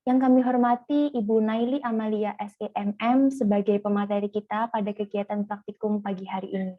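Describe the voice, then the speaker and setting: A woman's voice: mid-range voice, flat delivery, brisk, dry recording. A woman with an Indonesian accent, emceeing an online event formally, reading out honorifics and the agenda. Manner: formal, ceremonious, reads the agenda. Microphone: video call. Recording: dry, tinny call audio.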